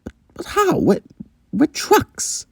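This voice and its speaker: A haughty female railway wagon from a children's train tale, acted out by a storyteller, pitched high and swooping theatrically. She is pompous, grand and easily affronted, speaking as if everyone should feel privileged to see her. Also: huge pitch swings, takes it slowly, gravelly, no room sound, clean studio sound, full character voice. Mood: shocked, flustered. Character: haughty, grandiose female talking aquarium wagon, self-important, indignant.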